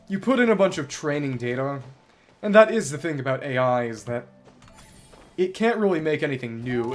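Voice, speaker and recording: A man livestreaming a game, thinking aloud in bookish wording as he chats casually with viewers and wanders between topics. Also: on the high side. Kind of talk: casual gameplay commentary, chatting with viewers.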